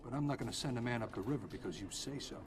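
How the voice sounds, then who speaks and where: A man's voice: medium pitch, rapid-fire, over music, natural voice. A man playing a confident, smug private security boss in a video game scene. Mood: smug, cool. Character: self-assured male private security company boss. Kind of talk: video game cutscene acting.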